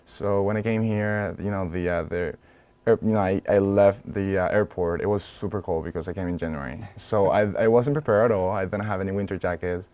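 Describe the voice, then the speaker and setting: A man's voice: deep voice, fairly even intonation, fairly quick, a little mellow. A young man from Venezuela, chatting easily as an interview guest and often saying "you know". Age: young adult. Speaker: student guest. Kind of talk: casual interview talk.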